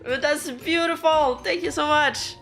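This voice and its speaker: Hoarse woman streamer, unhurried rambling chat, faint music underneath. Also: high-pitched, steady tone, a bit bright. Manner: chatty, rambling, easily laughing. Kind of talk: casual livestream chat with viewers.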